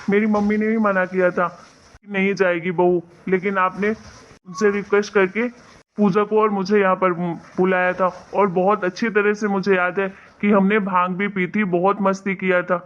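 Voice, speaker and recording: A woman voicing a placating, joking husband and son-in-law in an animated family story. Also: mid-range voice, normal speed, lightly acted. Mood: agreeable. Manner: coaxing, joking. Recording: slightly dull, lo-fi sound.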